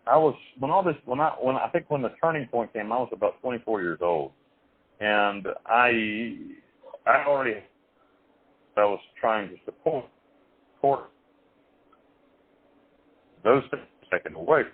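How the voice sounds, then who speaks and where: A man being interviewed over the phone, telling his life story in a rambling, halting way with many restarts and some blunt, crude wording. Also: mid-range voice, animated, slightly rough. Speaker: interview guest. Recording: tinny phone line.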